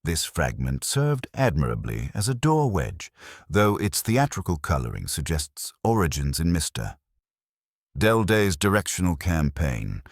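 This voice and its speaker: A mild, courteous police inspector from a mystery story, voiced by a man. The voice is very deep yet lively in pitch, formal and conversational with quiet irony, heard dry and close. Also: no echo, full character voice. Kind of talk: storybook mystery character dialogue.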